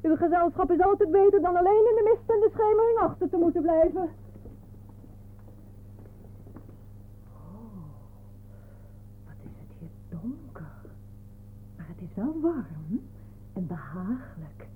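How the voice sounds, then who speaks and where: An actress playing a coy, gullible, fretful office spinster in a radio play, theatrical and easily flustered. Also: female voice, extremely high pitch, expressive, moderate pace, a little hiss or hum. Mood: hesitant. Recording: narrow, tinny old radio recording.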